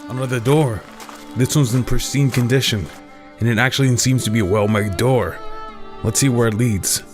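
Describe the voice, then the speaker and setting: Principled young-hero type ninja, male actor: measured, subdued, mid-range voice over soft music. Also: medium pitch, close to an everyday voice. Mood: observant. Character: earnest, troubled, principled male ninja investigator. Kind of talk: audio drama acting.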